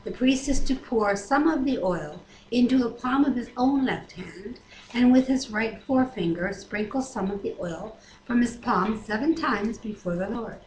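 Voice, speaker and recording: A woman's voice: fairly high pitch, lively intonation, normal speed, darkish tone. Woman reading aloud in an echoey room. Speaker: Bible reader and commenter. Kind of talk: reading scripture aloud with casual asides. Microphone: webcam microphone. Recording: echoey room, noisy webcam audio.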